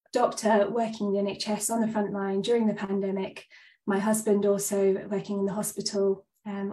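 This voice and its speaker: A woman's voice: medium pitch, dark tone, roomy sound, far from the mic, low-quality recording. A woman in her thirties, a GP, giving a webinar talk while a bit stuffed up and breathless, earnest with frequent ums. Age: 30s. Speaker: GP giving a presentation. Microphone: video call.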